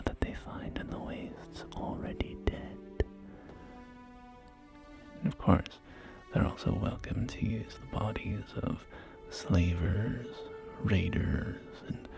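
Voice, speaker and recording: A man playing a polite, unflappable real estate agent of the wasteland in a soft ASMR roleplay, whispering in a measured, courteous sales patter. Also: deep voice, exaggerated voice. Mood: calm, matter-of-fact. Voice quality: soft, breathy whisper with creaky murmur. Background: music.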